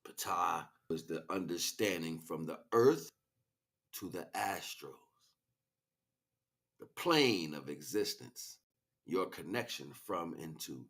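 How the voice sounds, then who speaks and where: A man delivering an impassioned, improvised spiritual monologue, preachy and grandiose, swinging between hushed asides and loud, emphatic declarations. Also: low voice, animated, slow, creaky, pauses often. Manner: preachy, grandiose, repeats phrases for weight. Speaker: spiritual speaker, streamer.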